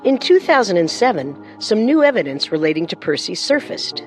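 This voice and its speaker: A woman co-hosting a scripted true-crime programme, narrating in a measured, serious way with music behind her. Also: mid-range voice, sing-song. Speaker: co-host narrator.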